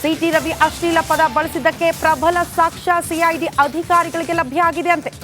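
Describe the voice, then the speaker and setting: Very high-pitched woman news anchor. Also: steady tone, normal speed, a bit bright, faint music behind. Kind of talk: television news presenting, handing over to a reporter.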